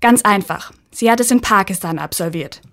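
A woman hosting a student radio interview in a studio, reading a prepared introduction and then asking measured questions. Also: mid-range voice, a bit bright.

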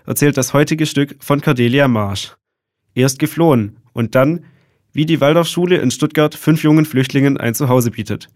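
A man presenting a radio program, reading a scripted introduction in a measured, clear announcing manner. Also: medium pitch, no echo, clean studio sound.

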